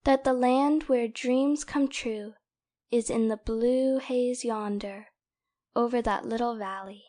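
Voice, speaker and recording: A dreamy, cheerful young heroine of a classic storybook , played by a woman. Her voice sits fairly high. She speaks expressively, with a wistful touch, as in a dramatized audiobook. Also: fairly even intonation, slow pace, mellow. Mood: soft, dreamy. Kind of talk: dramatic audiobook character reading.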